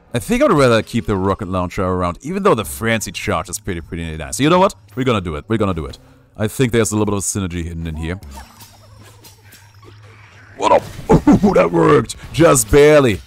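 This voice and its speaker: Young male streamer, lowish voice, jokey play-by-play over faint game music. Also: big pitch swings, fairly quick. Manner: playful, jokey, reads chat aloud. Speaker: gaming streamer. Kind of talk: live gameplay commentary.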